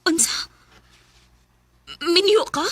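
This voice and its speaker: A woman's voice: very high-pitched, extremely slow, a bit bright, no room sound, exaggerated voice. An actress with a Cebuano accent playing a hurt, abandoned young woman in a radio drama, speaking reproachfully and emotionally.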